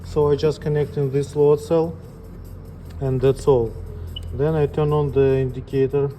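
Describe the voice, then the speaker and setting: A man talks through a practical demonstration at a slow, unhurried pace. His voice is dark and relaxed, and his delivery is plain, broken into short halting phrases. Faint music plays behind him. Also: medium pitch. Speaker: instructor demonstrating equipment calibration. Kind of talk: step-by-step tutorial, unscripted.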